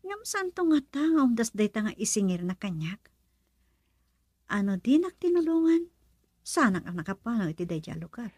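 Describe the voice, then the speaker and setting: A woman playing a gentle, worried young woman in love in a radio romance drama, earnest and plaintive. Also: fairly high pitch, very animated, moderate pace, pauses often, slightly rough, no room sound. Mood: pleading.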